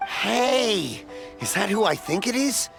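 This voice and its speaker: An actor playing a friendly, generous middle-aged stallkeeper in a video game, with a hearty, folksy manner. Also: male voice, sits high, very animated, takes it slowly, creaky, over music, full character voice. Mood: jovial, admiring. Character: friendly, generous older festival stallkeeper, man.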